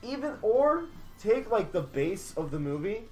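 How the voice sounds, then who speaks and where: Halting, higher-voiced man over faint music. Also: sing-song, darkish tone. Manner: halting, repeats phrases as he works out ideas. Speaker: podcast co-host. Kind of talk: casual group chat about video games.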